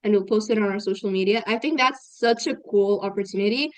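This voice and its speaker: Relaxed young woman on a dry mic. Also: medium pitch, fast, dry recording. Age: young adult. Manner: relaxed, gives listeners friendly advice. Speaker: podcast co-host. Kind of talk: casual podcast chat.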